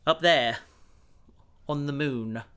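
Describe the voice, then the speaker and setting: A man's voice: fairly high pitch, slow pace, sharp tone, smooth. A man playing an earnest, reserved and loyal police officer in an audio drama. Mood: guarded.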